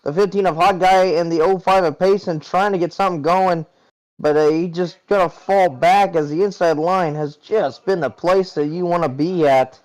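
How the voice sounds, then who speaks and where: A man's voice: high voice, steady tone, moderate pace, a little mellow, low-quality recording. A man calling an online sim race as the play-by-play host, chatty and conversational. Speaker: play-by-play commentator. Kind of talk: sim racing broadcast commentary.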